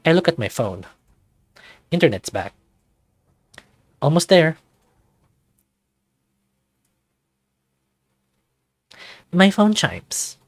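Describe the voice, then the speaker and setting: A man's voice: fairly high pitch, no room sound, pitched above the performer's own voice, heavily acted. A man voicing an anxious, self-doubting man in his thirties, narrating a visual novel introspectively in the first person. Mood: uneasy, self-conscious. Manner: introspective, confessional, dramatic reading.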